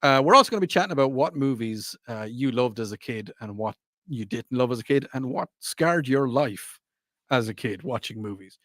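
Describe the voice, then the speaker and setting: A man's voice: mid-range voice, expressive, moderate pace, fairly bright tone, no room sound. A man hosting a radio show, chatting casually in a playful, teasing, rambling way from a studio microphone. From Ireland.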